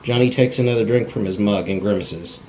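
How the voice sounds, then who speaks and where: A man's voice: lowish voice, brisk, dark tone. A man narrating script directions in a plain, unpolished cold read, stumbling now and then. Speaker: narrator reading stage directions.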